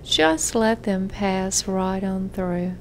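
A woman therapist leading a guided self-hypnosis relaxation session, giving gentle, measured instructions with frequent pauses. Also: medium pitch, lively intonation, slight background noise.

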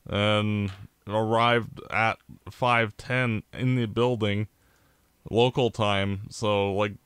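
Canadian male podcast co-host, unhurried analyst, smooth and clear, close dry mic. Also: fairly low pitch, a bit bright, dry recording. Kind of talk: casual sports chat.

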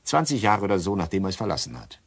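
Low, husky, musing doctor. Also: male voice, very animated, quick pace, full character voice. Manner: hesitant, musing, storytelling. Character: musing bookish doctor, amateur sleuth among friends. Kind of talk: German crime radio drama dialogue.

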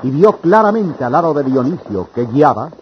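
A man's voice: fairly high pitch, very animated, moderate pace, noisy background. A man narrating a radio drama in a solemn, poetic, scripted style. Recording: dull old radio recording. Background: music.

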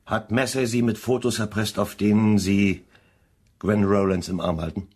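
An actor playing a stern, commanding police chief with a husky voice in a German crime radio play. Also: male voice, on the low side, little pitch movement, moderate pace, darkish tone. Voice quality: husky, raspy.

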